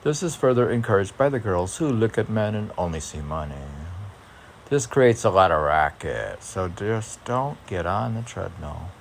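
A man reading poems aloud informally as a poet, with halting, musing asides between the lines. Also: lowish voice, lively intonation, vocal fry, slight background noise.

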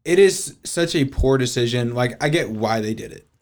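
A man co-hosting a podcast, chatting casually about a movie, blunt and quick to agree, with the odd swear word. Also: medium pitch. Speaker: podcast co-host. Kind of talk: casual movie review chat.

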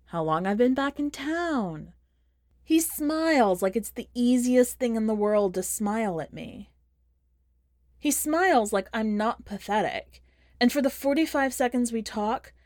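A woman reading a first-person short story in a measured, expressive audiobook style. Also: a little high, no echo, clean studio sound. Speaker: podcast host and fiction reader.

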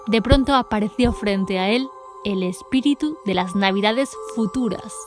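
A woman's voice: mid-range voice, normal speed, soft background music. A woman reading scripted storybook narration as the narrator of an audio drama.

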